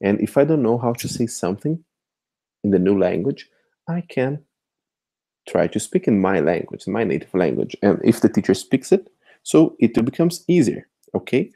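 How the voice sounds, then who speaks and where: A man whose first language is Portuguese, talking through an online tutorial in a relaxed, patient way and often checking in with the listener. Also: fairly low pitch, normal speed, vocal fry. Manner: conversational, keeps checking in with "okay?". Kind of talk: screen-share tutorial walkthrough.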